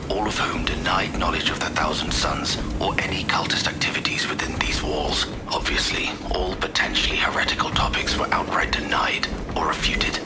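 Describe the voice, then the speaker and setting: A male actor voices a suspicious investigator of a dark sci-fi empire. His voice is deep and breathy, close to a whisper, and he reports with ominous deliberation. Music swells very loudly around him. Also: natural voice. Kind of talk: dramatic video game monologue. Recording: very loud music over voice.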